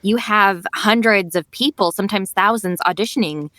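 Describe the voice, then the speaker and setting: A young adult woman, a voice actress, talking in a casual podcast interview, chatty and animated with lots of "like" and "you know". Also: mid-range voice, moderate pace, no room sound. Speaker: voice actress guest.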